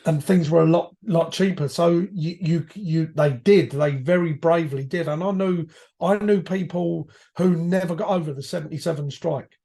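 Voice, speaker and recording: A man, hoarse from a cold, a guest reminiscing about his years as a firefighter in rambling, self-correcting talk. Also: a little high, moderate pace, dark tone. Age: 50s. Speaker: podcast guest, retired firefighter. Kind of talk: reflective conversational storytelling.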